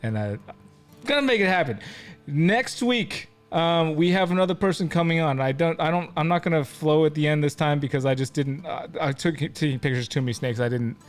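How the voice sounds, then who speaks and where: A man's voice: a little high, lively intonation, fairly quick, soft background music. A man hosting a live stream, chatting casually with guests in an informal, jokey, rambling way.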